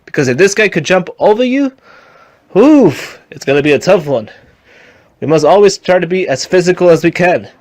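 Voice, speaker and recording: A man playing a calm, wise Jedi mentor who lectures his students earnestly in an amateur fan skit. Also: high voice, moderate pace. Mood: earnest, instructive. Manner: instructive, earnest, preachy.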